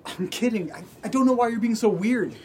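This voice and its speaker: A man's voice: very high voice, a little fast, a little mellow, a little hiss or hum. A man playing an eerily upbeat, childlike housemate in a naturalistic audio drama, breezy and insistent. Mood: calm, uneasy. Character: eerily upbeat, childlike male housemate, pushy and oddly oblivious.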